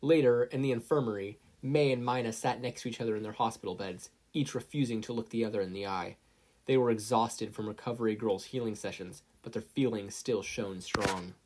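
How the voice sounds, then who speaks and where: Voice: medium pitch, brisk, darkish tone, a little hiss or hum. A narrator reading a scripted fan fiction story aloud. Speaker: narrator, podfic reader.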